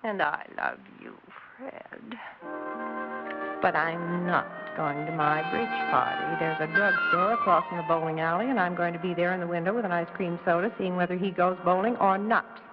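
An American actress playing an anxious wife in 1960s radio drama, theatrical and exclamatory, loving yet secretly suspicious. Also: female voice, on the low side, normal speed, loud music behind the voice, natural voice. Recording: dull, noisy 1960s radio recording.

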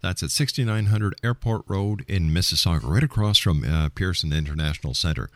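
Male radio host, very low pitch, dry close studio sound. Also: a little fast, no room sound. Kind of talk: radio interview hosting and announcements.

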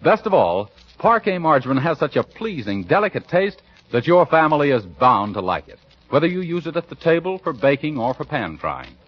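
An American man announcing in a formal, measured 1940s radio style. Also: on the high side, breathy edge. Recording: muffled vintage radio broadcast recording.

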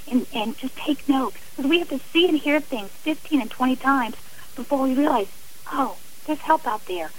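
A woman's voice: a little high, poor audio. A woman speaking earnestly and emphatically as a guest in a radio interview. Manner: emphatic, impassioned.

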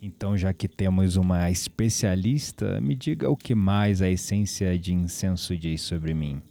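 Man acting smitten young Brazilian guy, low and mellow. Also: moderate pace, creaky. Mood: teasing, amused. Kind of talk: audio drama acting.

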